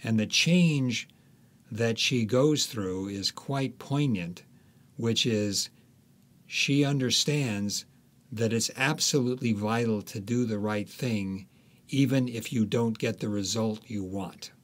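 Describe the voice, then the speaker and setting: Low-pitched man, halting and reflective. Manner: halting, deliberate, pausing often. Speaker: author, interview guest. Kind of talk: reflective conversational explanation.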